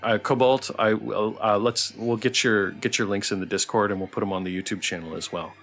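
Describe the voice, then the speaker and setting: A man's voice: fairly low pitch, steady tone, a little fast, fairly bright tone. A man hosting a casual livestream chat, encouraging and appreciative as he talks and reads out messages. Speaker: stream host. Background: background music.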